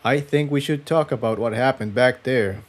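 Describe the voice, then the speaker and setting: A man voicing an earnest, troubled male pony adventurer in a quiet, serious way for a storybook reading. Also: mid-range voice, normal speed, lightly acted.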